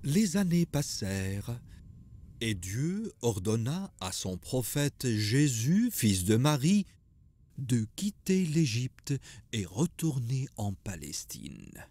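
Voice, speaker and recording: A man's voice: mid-range voice, big pitch swings, takes it slowly, warm tone, no echo. A man narrating a scripted religious cartoon story as its storyteller.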